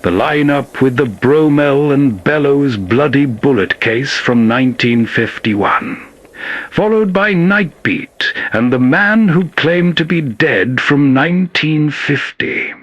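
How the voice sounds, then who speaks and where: A man's voice: mid-range voice, lively intonation, slow, fairly bright tone. A man hosting an old-time radio program, reading scripted narration meant for late-night listening.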